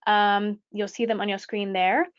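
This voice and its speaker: A woman's voice: mid-range voice, level tone, a little slow, no room sound. A woman hosting a webinar, introducing the speaker politely with frequent ums. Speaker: webinar host. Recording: dry, dull lo-fi webinar audio.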